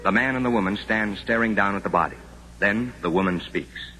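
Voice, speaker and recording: A man narrating a 1950s radio drama in a formal, measured, dramatic manner. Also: lowish voice, sharp tone, rough recording. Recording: 1950s radio recording with music. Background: music.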